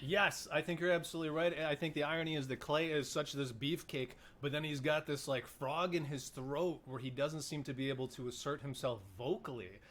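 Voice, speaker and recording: Man with a slightly high voice, asking questions. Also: fairly even intonation, brisk, few pauses, a bit bright, a little hiss or hum. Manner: playful, asks probing questions. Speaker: podcast co-host and speech coach. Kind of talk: casual recap chat.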